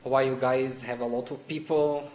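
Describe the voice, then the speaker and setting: A man's voice: mid-range voice, fairly even intonation, normal speed, darkish tone. Hesitant man from Egypt. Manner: hesitant, repeats words, acts out quoted exchanges. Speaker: panel guest. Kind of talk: panel discussion answers. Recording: echoey room with faint noise.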